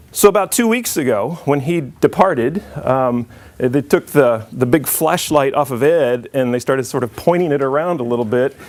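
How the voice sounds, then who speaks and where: A man's voice: medium pitch, a little mellow. A middle-aged man giving an informal conference presentation to an audience. He jokes and restarts his sentences often. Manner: friendly, joking, with frequent restarts.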